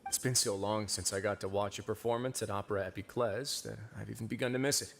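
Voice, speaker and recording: An actor playing a composed, shrewd man in a video game, speaking in a measured, persuasive way. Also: fairly low pitch, fairly even intonation, gravelly. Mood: calm, persuasive. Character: composed, shrewd adult man, calmly scheming ally.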